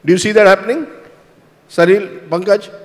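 High-voiced man moderating a panel. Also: big-room sound. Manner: brisk, probing questions.

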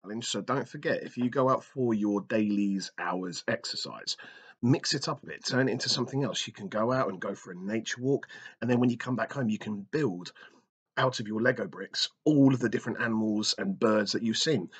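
A man's voice: on the low side, brisk, a bit bright, slightly rough. A man in his thirties hosting a kids' activity video from a small brick-walled room, talking back to a silent toy and joking as he explains. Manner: jokey, teacherly, talks back to a silent toy. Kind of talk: playful talk to a toy puppet. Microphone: webcam in front of him.

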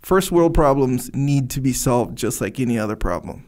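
A young man co-hosting a podcast in a studio, talking in a rambling, animated way and searching for words. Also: mid-range voice, normal speed. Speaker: podcast co-host. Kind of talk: casual podcast chat. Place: dark studio, indoors. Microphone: studio mic on boom arm, headphones.

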